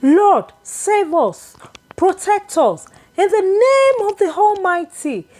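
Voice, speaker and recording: A woman's voice: extremely high pitch, sing-song, takes it slowly, darkish tone, pitched above the performer's own voice, heavily acted. A woman voicing a devout, anxious mother in a folktale, praying in a fervent, commanding, pleading manner.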